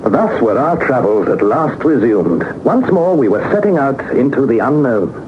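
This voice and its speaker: An actor playing an earnest young man who narrates his expedition in measured, literary style for a 1960s radio drama. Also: medium pitch, sing-song, close to an everyday voice. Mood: solemn wonder. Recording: noisy phone-like old 1960s radio recording.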